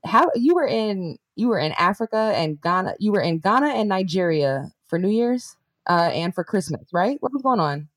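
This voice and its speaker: A woman's voice: mid-range voice, animated, normal speed. A woman hosting a podcast, upbeat and chatty, asking her co-host questions in casual talk.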